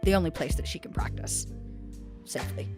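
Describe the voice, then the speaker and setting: A woman with a low voice plays a tabletop game in character, languid and arch with a sardonic edge. Music is clearly audible behind her. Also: very animated, a little fast. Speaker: player. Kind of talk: in-character roleplay.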